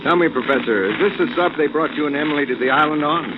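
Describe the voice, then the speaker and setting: An actor playing a confident, brisk American adventurer major in a 1940s radio drama. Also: male voice, on the high side, lightly acted. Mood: breezy. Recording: noisy, muffled old radio recording.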